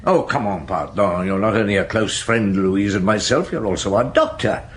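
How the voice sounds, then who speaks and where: A middle-aged police inspector in a radio drama, dogged and brooding, with gruff authority. His intonation moves widely as he muses and hesitates, over faint background noise. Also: fairly low pitch, expressive, moderate pace, a bit bright. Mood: probing, uneasy. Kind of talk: naturalistic radio drama acting.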